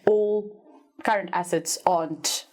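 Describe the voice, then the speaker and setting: This is a South African woman, Afrikaans by first language, with a low-pitched voice. She explains business figures in a relaxed, teacherly podcast style. She stays upbeat and fixes her own slips on the fly. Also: slow, low-quality recording. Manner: teacherly and upbeat, laughs at herself, corrects herself mid-sentence. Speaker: finance expert guest, former university lecturer.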